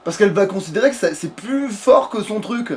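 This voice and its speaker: Higher-voiced man rambling through a game. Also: lively intonation, brisk. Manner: rambling, coarse swearing. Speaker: video game streamer. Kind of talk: casual let's play commentary.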